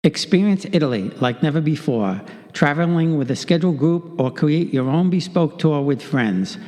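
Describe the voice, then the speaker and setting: A man's voice: on the high side, animated, normal speed, a little mellow. Polished man reading an ad, reverberant. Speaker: ad announcer. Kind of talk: read advertisement.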